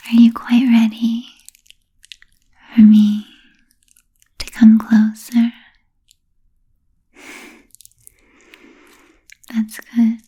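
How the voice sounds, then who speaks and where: A woman voicing a vain, seductive female vampire in a gentle, coaxing, breathy whisper for an ASMR roleplay. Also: a little high, exaggerated voice. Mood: soothing, inviting. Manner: slow, gentle, coaxing, with lingering pauses.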